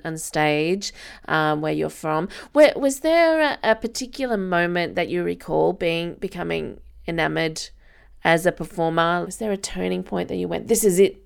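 A woman's voice: on the low side, creaky, clean tone. A woman hosting a radio arts interview, asking curious questions in a conversational way.

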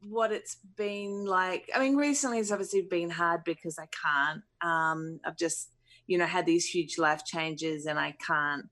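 A musician in her thirties from New Zealand talks through her answers slowly and thoughtfully. She hesitates often and fills the gaps with ums and "you know". Her voice sits fairly low. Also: a bit bright. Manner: thoughtful, hesitant, many fillers. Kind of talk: interview answers.

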